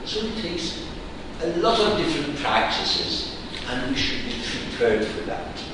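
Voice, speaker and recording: A man teaching a reflective philosophy class, musing slowly with frequent pauses. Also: medium pitch, wildly swooping pitch, noisy background. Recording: echoey hall, mic far off.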